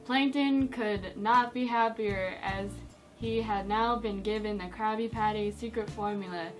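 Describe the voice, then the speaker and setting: A woman's voice: medium pitch, steady tone, unhurried, soft background music, rough recording. A young adult woman reading a mock bedtime story aloud in a giggly manner. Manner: giggly, breaks into laughter while reading. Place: indoors, against a dark cloth backdrop.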